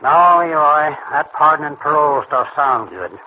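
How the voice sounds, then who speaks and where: A man's voice: a little high, lively intonation, moderate pace, close to an everyday voice. Man playing a slangy, tough small-time crook. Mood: stubbornly refusing. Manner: blunt, slangy, uneducated hoodlum talk. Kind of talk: old radio serial acting. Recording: dull old radio recording, faint noise.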